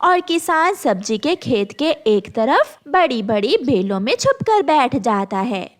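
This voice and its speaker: A woman's voice: high-pitched, very animated, slow. A woman storyteller reading scripted narration for a children's cartoon tale.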